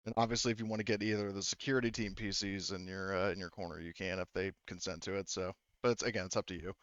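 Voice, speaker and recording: A man's voice: deep voice, fairly even intonation, quick pace, a bit bright, smooth, no room sound, dull audio. A man running a tabletop roleplaying game as game master, talking casually and often restarting his phrases.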